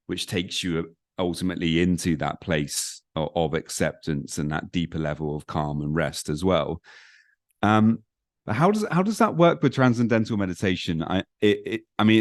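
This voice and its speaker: Low-voiced man hosting a podcast interview. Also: no room sound, studio quality. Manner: halting, rambling with repeated words. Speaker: podcast host. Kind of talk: conversational interview.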